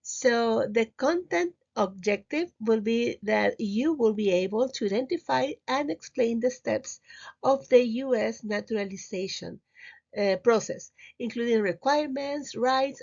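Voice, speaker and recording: A woman's voice: on the high side, a little mellow. A middle-aged woman from Peru with a Spanish accent, teaching a recorded slide lesson in hesitant, simple phrases with repeated words. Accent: Peruvian Spanish. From Lima, Peru. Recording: slightly muffled, dull audio.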